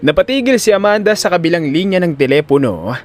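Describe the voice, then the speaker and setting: A man's voice: high-pitched, big pitch swings, quick pace, soft background music. A man with a Filipino accent narrating a scripted audio drama in a dramatic storytelling style.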